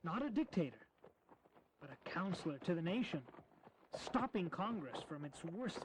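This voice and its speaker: An actor playing an ambitious, persuasive young colonel, speaking earnestly and respectfully in a historical audio drama. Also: male voice, sits high, sing-song, normal speed, rough recording. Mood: fervent. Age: young adult. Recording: clear ambient noise behind.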